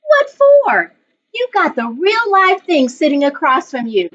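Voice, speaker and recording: Woman playing storybook grandmother, wise, emphatic, chiding but loving, lively pitch, slightly dull recording. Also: a little slow, slight background noise, heavily acted. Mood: playful chiding.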